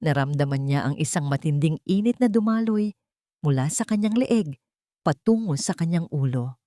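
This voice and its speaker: A woman's voice: sits low, lively intonation, moderate pace, mellow, dry recording, studio quality. A woman reading a scripted dramatic story as an audiobook narrator, in a solemn, theatrical manner.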